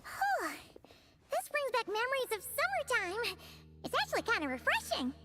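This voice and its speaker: A woman voicing a small, chatty, excitable fairy companion with a squeaky childlike voice, in a video game. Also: moderate pace, full character voice. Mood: musing. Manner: speaks of self in third person.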